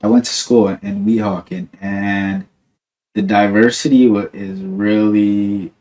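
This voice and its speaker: A man's voice: on the low side, fairly even intonation, takes it slowly, darkish tone, poor audio. A young man from New Jersey hosting a podcast, talking in a rambling, laid-back way with lots of "like" and "dude". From New Jersey, USA. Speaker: podcast host. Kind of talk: casual chat.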